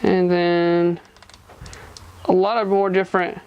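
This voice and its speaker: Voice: medium pitch, flat delivery, slow pace, smooth, lo-fi sound. A person giving a casual, hands-on product demonstration, explaining informally step by step. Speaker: gadget reviewer.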